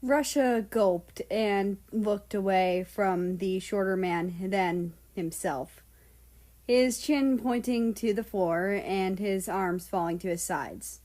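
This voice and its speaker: Female story narrator: very unhurried pace, mid-pitched voice, expressive scripted reading. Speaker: audiobook narrator.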